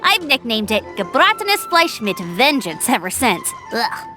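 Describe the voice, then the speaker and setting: Bright, perky young scout. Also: female voice, fairly high pitch, big pitch swings, a little slow, soft background music, exaggerated voice. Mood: playful complaint. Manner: casual, friendly, reassuring. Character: young female scout, cheerful, easygoing, loyal friend. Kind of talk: video game voice acting.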